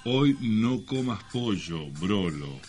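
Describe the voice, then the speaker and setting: Argentine radio man, low teasing voice. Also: lively intonation, slow pace, darkish tone, slight background noise. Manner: teasing, mock-formal. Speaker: radio co-host. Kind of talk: bantering comic radio talk with mock-solemn lines.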